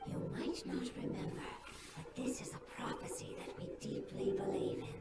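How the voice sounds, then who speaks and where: A woman voicing an ancient, solemn, ailing creature in a video game, with a breathy whisper and formal, measured speech. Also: very noisy, lightly acted. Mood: grateful, reverent. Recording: cavernous echo, distant voice.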